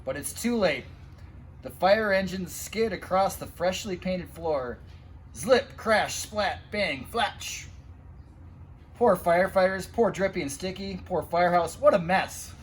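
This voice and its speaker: A man reading a children's picture book aloud in an animated, expressive way, with asides to young listeners. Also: sits high, unhurried, a little hiss or hum. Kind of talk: animated storytime read-aloud.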